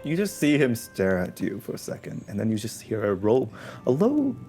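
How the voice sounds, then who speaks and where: Man narrating with lively, swinging pitch. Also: moderate pace, soft background music. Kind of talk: casual, vivid improvised narration.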